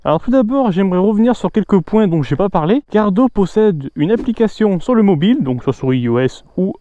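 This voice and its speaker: A man's voice: sits high, expressive, fairly quick, warm tone, dry recording, highs cut off. A man talking casually and informally to viewers as a motorcycle vlogger, explaining and reviewing gear.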